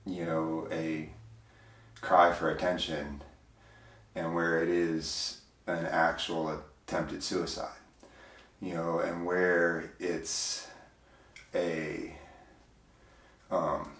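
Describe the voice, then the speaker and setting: A man with a big, deep voice, speaking as an interview guest in a reflective, hesitant way, full of fillers and restarts. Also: little pitch movement, extremely slow, long pauses, fairly bright tone, far from the mic. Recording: roomy, voice from off mic.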